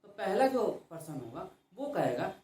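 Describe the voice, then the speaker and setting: A male math teacher lectures with a lively, rising-and-falling voice, asking a question and then answering it himself. The sound is very echoey and comes from a mic placed well away from him. It has the feel of a recorded classroom lesson. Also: high-pitched, normal speed, a little hiss or hum. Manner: repeats questions and answers them himself, call-and-response drilling. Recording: very echoey room, mic far off.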